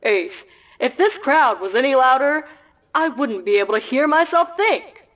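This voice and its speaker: A woman voicing an excitable pony sports announcer with hyped, showy broadcast delivery in a comedic story reading. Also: high voice, unhurried, pitched above the performer's own voice, low-quality recording.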